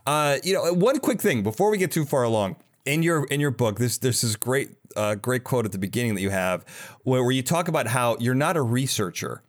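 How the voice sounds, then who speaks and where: A man's voice: medium pitch, lively intonation, brisk. Man hosting a casual, chatty interview. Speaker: podcast host interviewing a guest.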